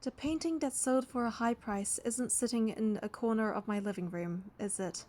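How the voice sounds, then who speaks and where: A woman's voice: on the high side, normal speed, darkish tone. A woman reading a video game's story text aloud, with casual asides as she plays.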